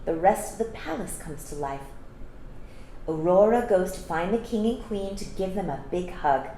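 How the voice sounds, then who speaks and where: Woman reading a storybook in an echoey room. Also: medium pitch. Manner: expressive, explains to young listeners. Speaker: storytime reader. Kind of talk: children's storybook read-aloud with asides. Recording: echoey room with faint background noise.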